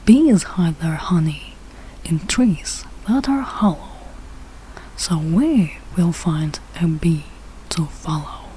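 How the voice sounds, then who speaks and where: Woman voicing a confident, boastful father bear, unhurried. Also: fairly low pitch, expressive, warm tone, slight background noise, voice pushed down, low-quality recording. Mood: confident, instructive. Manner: self-assured, instructive, slow rhyming delivery.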